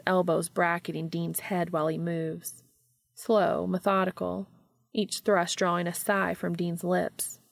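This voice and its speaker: A woman's voice: fairly low pitch, gravelly, no room sound. A woman reading scripted fiction as an audiobook narrator.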